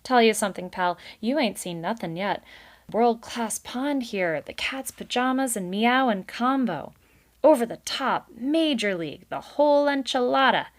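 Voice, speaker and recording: Brash storybook beaver boss female reader, raised pitch, hearty and emphatic, fast-talking huckster attitude without any doubt. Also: expressive. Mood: boastful, hearty. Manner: breezy salesman patter, slangy, emphatic. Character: brash, booming, slick male beaver boss.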